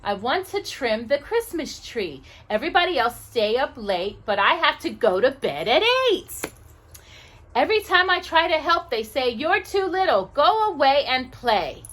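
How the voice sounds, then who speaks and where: A woman's voice: high-pitched, big pitch swings, unhurried, a bit bright, voice pushed up, exaggerated voice. A woman voicing a sulky little boy from a storybook who feels left out and complains plaintively in rhyme.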